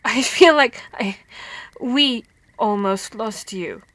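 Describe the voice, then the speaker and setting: A woman playing a female vampire hunter who scolds and cares for her comrade, in an expressive, stammering ASMR roleplay. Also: fairly high pitch, slow, dry recording, full character voice. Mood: scolding, worried.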